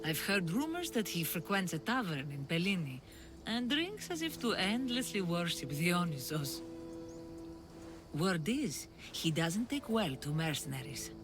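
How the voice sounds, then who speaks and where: An actress playing an earnest older mother and refugee leader in a video game, measured and wistful. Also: lowish voice, sing-song, over music. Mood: earnest, concerned. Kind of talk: video game cutscene acting.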